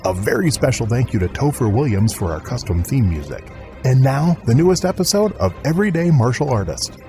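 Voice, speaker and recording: A man's voice: medium pitch, big pitch swings, a little fast, mellow, soft background music. A man hosting a martial arts podcast, reading a scripted welcome in an upbeat way and then chatting with his guest. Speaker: podcast host. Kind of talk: scripted intro, then interview chat.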